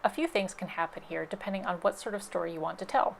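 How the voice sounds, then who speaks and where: A woman's voice: lowish voice, fast talker, creaky, a little hiss or hum. A woman library presenter giving scripted instructional narration, explaining things step by step.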